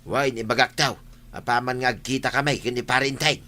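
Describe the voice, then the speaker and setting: A man's voice: medium pitch, fairly even intonation, brisk, bright, forceful tone, close to an everyday voice. A man playing a village husband in a comedy radio drama, with an Ilocano accent. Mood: plainspoken.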